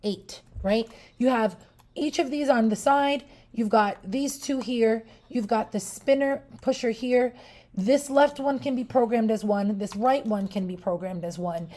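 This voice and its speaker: A woman's voice: a little high, measured pace, mellow. A woman with a Puerto Rican accent, casually reviewing a product live, chatty and loose, with restarts and asides to the viewers. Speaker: product reviewer on a shopping livestream.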